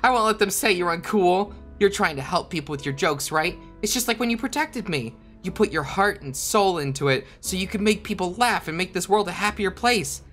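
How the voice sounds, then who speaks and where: A woman's voice: on the low side, lively intonation, brisk, few pauses, a bit bright. A woman playing an earnest, loving young schoolboy in an anime game fandub. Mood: earnest, reassuring. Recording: light music behind.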